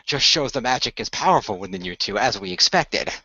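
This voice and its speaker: Man playing a bright-voiced, composed sword-wielding hero. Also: medium pitch, animated, brisk, dry recording, lo-fi sound, voice pushed down. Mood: approving. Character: composed sword-wielding hero, commanding and encouraging young allies.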